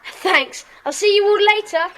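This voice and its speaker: A woman's voice: very high-pitched, measured pace, a bit bright. A woman playing a keen, adventurous schoolboy in a children's audio drama. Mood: determined. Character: keen, adventurous schoolboy eager to do detective work.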